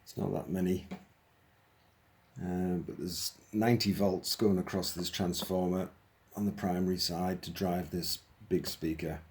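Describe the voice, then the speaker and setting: A man with a low-pitched voice gives an informal, hands-on demonstration. He thinks aloud as he explains, like a hobbyist presenter. A faint noise sits behind his voice. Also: level tone, a little slow, darkish tone.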